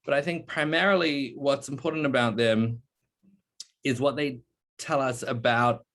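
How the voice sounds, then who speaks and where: A young man, a PhD candidate, giving a halting presentation on a video call with many fillers. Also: medium pitch, steady tone, a bit bright. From born in South Africa, raised in New Zealand. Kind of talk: online meeting presentation.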